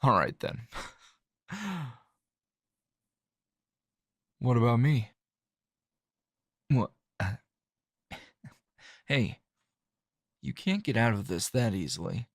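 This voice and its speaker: A man acts out a calm, teasing male hero in an intimate ASMR roleplay. His medium-pitched voice moves slowly and haltingly, with dry, understated wit. The sound is close and free of room echo. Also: slightly rough, heavily acted. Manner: low-key, halting, conversational with sighs and soft chuckles.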